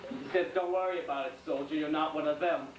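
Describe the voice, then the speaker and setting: A man playing a shaken young American World War II soldier in a dramatic stage monologue, speaking in plain, halting, intense colloquial speech. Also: on the high side, fairly even intonation, close to an everyday voice. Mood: hurt, insistent. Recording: echoey room, distant mic, faint noise.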